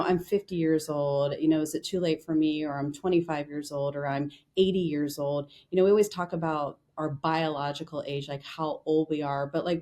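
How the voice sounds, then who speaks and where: A woman's voice: deep voice, dark tone. A woman hosting a podcast interview, speaking conversationally with many "you know" fillers. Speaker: podcast host dermatologist.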